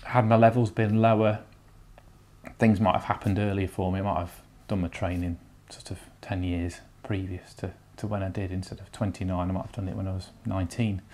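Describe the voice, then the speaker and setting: Reflective low-voiced man in his thirties. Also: steady tone, fairly quick, a little mellow. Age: 30s. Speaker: interviewee, nurse. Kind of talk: documentary interview.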